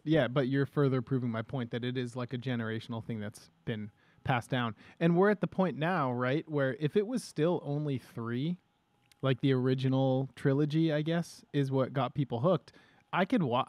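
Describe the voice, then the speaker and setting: A man in his thirties hosting a casual podcast at a desk mic, animated and enthusiastic, with casual swearing. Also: medium pitch, no echo. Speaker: podcast host. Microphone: studio microphone at a desk.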